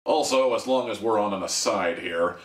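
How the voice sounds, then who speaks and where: A man's voice: on the low side, moderate pace, some chatter behind. A man giving a casual, candid review monologue to listeners, with conversational asides. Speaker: audio drama reviewer. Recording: echoey room, distant microphone.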